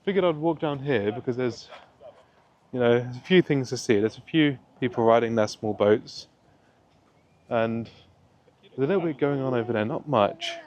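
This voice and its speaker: A young man with a deep voice, chatting casually in a rambling way as he vlogs a walk outdoors through a town. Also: moderate pace, pauses often, dull audio, a little hiss or hum. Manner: relaxed, rambling, many fillers. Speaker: travel vlogger. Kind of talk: casual walking vlog. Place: outdoors in a town square and park.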